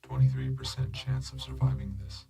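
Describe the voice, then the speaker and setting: A man voicing a calm robotic scanner AI in a sci-fi audio story, measured and deadpan as it gives advice. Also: medium pitch, vocal fry. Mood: calm, advisory. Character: calm robotic scanner AI companion, advising its owner.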